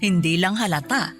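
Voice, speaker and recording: Warm, teasing Filipino mother. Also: lowish voice. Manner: affectionate, teasing, conversational. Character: warm, teasing middle-aged mother of a grown son. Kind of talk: Tagalog radio drama acting.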